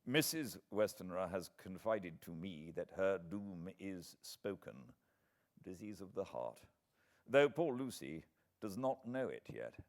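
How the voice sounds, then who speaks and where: A British man playing a worried, earnest gentleman writing to an old friend, in an audiobook reading. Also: low-pitched, big pitch swings, unhurried, heavily acted. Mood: anxious, earnest. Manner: anxious, earnest. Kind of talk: dramatised audiobook letter reading.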